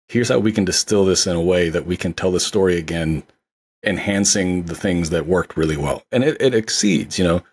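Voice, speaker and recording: A man with a lowish, rough-edged voice talks casually as a guest on a film podcast. He is enthusiastic and conversational, laying out his opinions freely.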